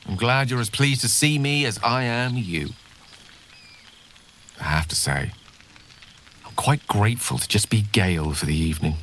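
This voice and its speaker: Game wizard professor, male: lowish voice, learned, wordy, warm-hearted showman, noisy background. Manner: eloquent, wordy, theatrical. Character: genial, erudite male wizard professor, self-satisfied yet warm-hearted. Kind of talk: video game voice acting.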